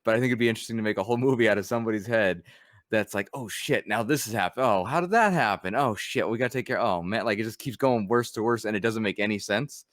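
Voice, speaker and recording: Man, podcast co-host, quick talker, dry close sound, rambling, laughs a lot. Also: on the low side, a bit bright, dry recording. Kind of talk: casual chat.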